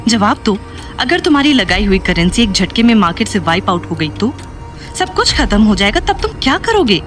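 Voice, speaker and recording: A woman's voice: medium pitch, sing-song, normal speed, fairly bright tone. A woman playing a worried, questioning young woman in an audio drama, mixing in English phrases. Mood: anxious. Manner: anxious, questioning, mixes in English. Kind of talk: Hindi audio drama acting. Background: background music.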